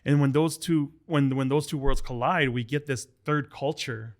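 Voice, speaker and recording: Brief: male podcast host, mid pitch, chatty and playful, casual talk. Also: fairly even intonation, moderate pace. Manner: chatty, joking, repeats words.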